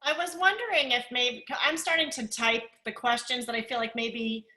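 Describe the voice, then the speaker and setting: A woman's voice: on the high side, moderate pace, bright, forceful tone, poor audio. A woman moderating an online panel, speaking hesitantly with many hedges and fillers. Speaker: webinar moderator.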